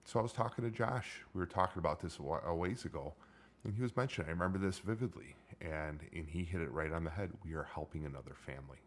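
A middle-aged man with a low voice hosting a casual chat, joking and rambling with many restarts. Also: fast talker. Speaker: podcast host.

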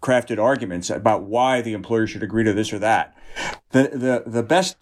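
A man speaking as an interviewed union representative, in an earnest, measured and explanatory conversational way. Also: fairly low pitch.

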